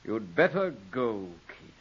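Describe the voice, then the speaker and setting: An actor playing a guilt-ridden, weak Englishman with halting, emotional delivery in a 1940s radio drama. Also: mid-range voice, very animated, a bit bright. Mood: quietly resolute. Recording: dull, hissy 1940s radio recording.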